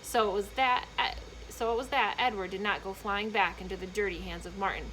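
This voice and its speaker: A woman reading a children's book aloud in an expressive, emphatic storytelling manner, with short explanatory asides for young listeners. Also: mid-range voice, fairly quick, a little hiss or hum, low-quality recording.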